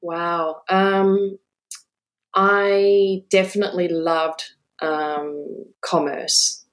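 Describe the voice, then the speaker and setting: Woman in her forties, slightly low, chatty interview guest who laughs easily. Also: steady tone, drawn-out words. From Australia. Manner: warm, chatty, laughs often, many fillers. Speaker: guest, business owner. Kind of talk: casual interview storytelling.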